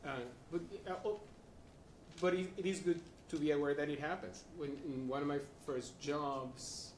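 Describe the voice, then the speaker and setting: Animated man with a roomy echo. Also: a little high, normal speed, distant mic, a little hiss or hum. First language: Spanish. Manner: animated, laughing, acts out quotes. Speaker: panelist. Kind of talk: anecdotal panel talk.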